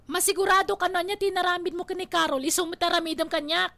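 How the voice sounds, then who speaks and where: A woman's voice: very high voice, level tone, fast, gravelly, bright, forceful tone, no echo, exaggerated voice. A woman acting a jealous, suspicious young wife in a Filipino radio drama, with an Ilocano accent and a pleading, nagging manner. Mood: angry, accusing.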